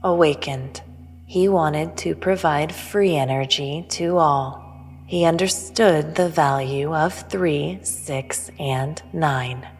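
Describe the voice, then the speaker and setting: An otherworldly female intelligence, played by an actress, pronounces cosmic truths with calm superiority. Her voice is low and slow, formal and measured, in long reverb over music. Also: full character voice. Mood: solemn, knowing. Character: otherworldly all-knowing female entity, cryptic, calmly superior. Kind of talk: sci-fi audio drama.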